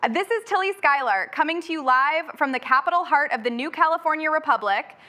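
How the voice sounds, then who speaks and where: A woman's voice: high voice, animated, fairly quick, sharp tone. A woman playing a cheery, wry radio host in a post-apocalyptic republic, delivering scripted retro broadcast patter. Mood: upbeat, wry.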